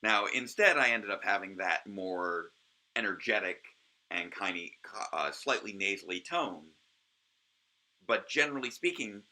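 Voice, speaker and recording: Low gravelly man, halting commentary. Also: animated, sharp tone, rough recording. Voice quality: naturally low voice. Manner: hesitant, full of ums and restarts. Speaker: host of a review series. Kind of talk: casual solo commentary.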